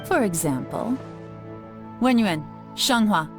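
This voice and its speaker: A woman's voice: mid-range voice, expressive, takes it slowly, faint music behind. An actress playing a composed, shrewd female intelligence chief in a video game, instructive and commanding.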